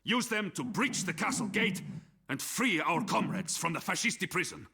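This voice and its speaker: An actor playing a stern Soviet officer, giving a deliberate, commanding mission briefing in a rough voice for a war game. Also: male voice, high voice, very animated, noisy background. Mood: stern, resolute. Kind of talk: scripted military mission briefing.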